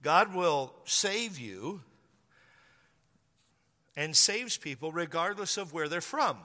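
High, sharp-voiced preacher in a reverberant hall. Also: male voice, expressive, takes it slowly. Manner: preaching with emphatic repetition. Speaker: pastor. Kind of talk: sermon. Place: church sanctuary.

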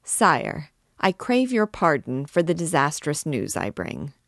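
Spiteful court barber, voiced by a woman, cold and deliberate, dry close sound. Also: low voice, huge pitch swings, creaky, no room sound, heavily acted. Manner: cold, measured. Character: spiteful male royal barber, cold and sly.

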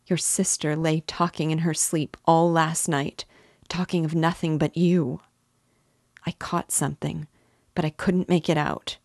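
A woman's voice: sits low, level tone, a little slow, a little mellow, dry recording. Anxious tearful mother with a raised, pleading voice, in close dry audiobook sound; a woman reader puts her on. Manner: pleading, emotional, breaking into sobs. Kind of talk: audiobook character reading.

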